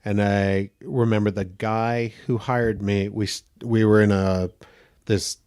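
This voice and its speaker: Male guest actor, soft higher-pitched voice, lively anecdotes, quick laughs. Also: smooth. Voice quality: slightly high, gentle voice. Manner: animated storyteller, laughs often, acts out quotes. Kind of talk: casual podcast chat.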